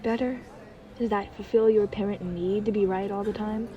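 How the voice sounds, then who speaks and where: A woman playing a sarcastic, gruff but protective dream watcher in an audio roleplay, with a brusque manner. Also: medium pitch, steady tone, normal speed, far from the mic, dull audio, noisy background, natural voice. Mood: irritated, dry.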